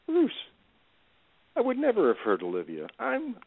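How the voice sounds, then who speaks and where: A man's voice: very high voice, wildly swooping pitch, normal speed, gravelly, husky, exaggerated voice. A man acting in an audio play as a frightened aging drunkard, stammering, evasive and defensive. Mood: pleading denial. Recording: tinny phone-like band.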